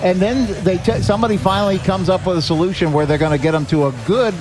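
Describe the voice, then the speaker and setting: A man's voice: sits high, fast, loud music behind the voice. A man talking earnestly and conversationally as a podcast guest, telling anecdotes and acting out quotes. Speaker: podcast guest, charity director. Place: live podcast at a restaurant.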